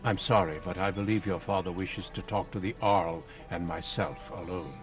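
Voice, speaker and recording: An actor playing a grave, courteous warrior recruiter in a fantasy game, speaking formally and solemnly. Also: male voice, sits low, moderate pace, recorded from a distance, faint music behind.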